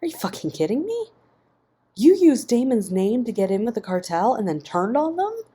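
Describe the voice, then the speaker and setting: A man's voice: extremely high pitch, very animated, moderate pace, warm tone, husky, pitched above the performer's own voice, full character voice. A woman voicing a desperate, guilt-ridden man who pleads with choked intensity in a narrated story.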